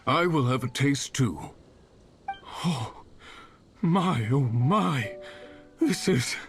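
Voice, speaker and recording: A man voicing a sentimental villager in a video game, with a drawn-out, theatrical delivery. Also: medium pitch, lively intonation, faint music behind. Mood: awed, nostalgic. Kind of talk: anime video game voice acting.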